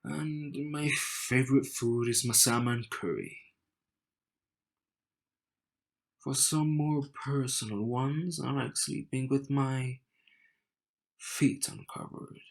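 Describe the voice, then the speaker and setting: Slow-talking, teasing young groom, played by a man. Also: medium pitch, gravelly. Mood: playful. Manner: smug, teasing, unhurried delivery with dramatic pauses. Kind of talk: romantic audio roleplay addressed to the listener.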